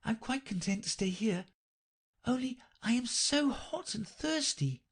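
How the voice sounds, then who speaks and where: Guy doing a polite little girl voice, high and soft, kinda puzzled, panting a bit. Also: animated, measured pace, gravelly, slightly rough, heavily acted.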